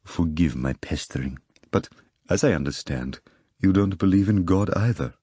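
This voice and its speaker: Man playing a sly deep-voiced foreign stranger with an accent, husky and insinuating. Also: sing-song, moderate pace, a little mellow, no echo, studio quality, heavily acted.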